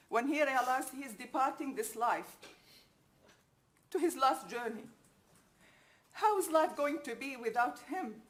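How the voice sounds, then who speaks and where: A woman reads out a prepared personal statement. Her voice is a little high, and her delivery is grave and deliberate. She addresses her listener directly, phrase by phrase. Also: a bit bright. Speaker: bereaved relative reading a victim impact statement. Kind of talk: prepared statement read aloud.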